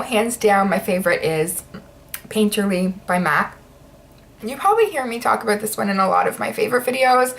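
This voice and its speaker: A woman's voice: mid-range voice, slight background noise. A woman vlogger chatting casually through her product favorites, sounding slightly stuffy and nasal, with lots of ums and likes. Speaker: beauty vlogger.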